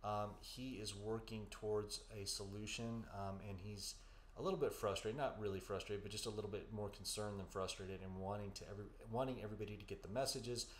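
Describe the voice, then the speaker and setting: Low-voiced man giving hesitant psychic readings. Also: fairly even intonation, brisk. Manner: hesitant, filler-heavy, reassuring. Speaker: psychic medium podcast co-host. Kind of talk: live-stream psychic readings and chat. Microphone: studio mic. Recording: echoey room with faint background noise.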